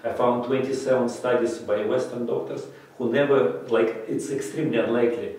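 A man's voice: medium pitch, fairly even intonation, a little mellow, far from the mic. Middle-aged man, non-native, echoey room. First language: not English; English his third language. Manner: halting, many fillers and restarts, gestures while talking. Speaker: interviewee, breathing method teacher. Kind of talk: unscripted interview answers. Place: bright office room, indoors.

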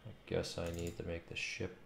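A man's voice: deep voice, monotone, moderate pace, vocal fry. A man in his thirties talking casually and informally as he thinks aloud while livestreaming game development work. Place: indoor home room. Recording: roomy echo, faint noise, keyboard clicks. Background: faint noise, keyboard typing and clicks.

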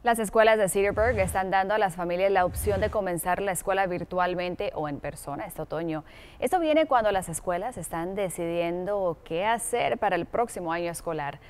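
A woman's voice: on the low side, a little fast, smooth. A woman in her thirties presenting news and weather as a television anchor in a studio.

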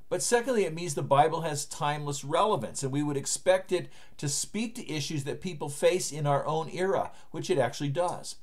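A man in his fifties, a pastor, giving an earnest, emphatic recorded sermon to the camera from a book-lined home study. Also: on the high side. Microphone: webcam framing, seated at desk.

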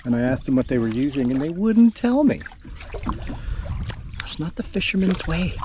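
A man chatting casually to camera outdoors, with a laid-back, musing and slightly halting delivery. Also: fairly high pitch, big pitch swings, darkish tone, a little hiss or hum. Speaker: outdoor vlogger. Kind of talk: casual vlog chat to camera. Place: outdoors on a lake in a canoe.

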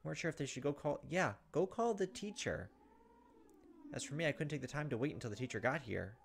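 A man's voice: medium pitch, animated, very fast, creaky, slight background noise. A man in his thirties hosting a let's play, reading game narration aloud with casual asides.

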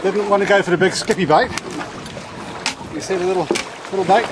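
High-voiced New man on a noisy boat. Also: fairly even intonation, fairly quick. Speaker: amateur angler narrating own fishing video. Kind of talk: casual chat and running commentary. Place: outdoors on a small boat at sea. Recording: very noisy outdoor boat recording. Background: boat and water noise.

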